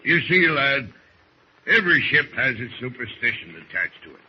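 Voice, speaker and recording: An actor playing a salty old first mate with folksy nautical talk, in the manner of 1940s radio drama. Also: male voice, medium pitch, sing-song, lightly acted. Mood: ominous, storytelling. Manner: folksy nautical talk, slow storytelling with hesitations. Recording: muffled noisy old radio recording.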